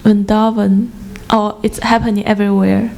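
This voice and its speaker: A woman's voice: medium pitch, level tone, vocal fry, darkish tone, a little hiss or hum. Hesitant woman asking from the audience. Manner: hesitant, halting. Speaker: audience member asking a question.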